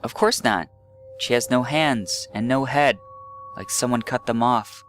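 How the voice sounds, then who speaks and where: A man voicing a shy, frightened young girl in a horror story, speaking quietly and factually. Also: mid-range voice, a little slow, pitched above the performer's own voice, natural voice. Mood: matter-of-fact. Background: eerie background music.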